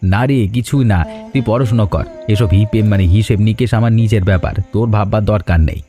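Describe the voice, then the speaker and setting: A man voicing an earnest, protective big brother who works hard at a garage, in an animated story. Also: on the low side, a little fast, soft background music, lightly acted. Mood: worried. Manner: earnest, familiar.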